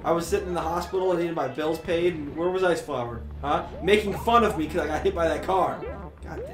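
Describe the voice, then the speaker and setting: A young man co-hosting a co-op video game session, joking around with sudden loud outbursts. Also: fairly high pitch, a little fast. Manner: jokey, loud outbursts, mock dramatic. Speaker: gaming channel co-host. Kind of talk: playful co-op gameplay commentary.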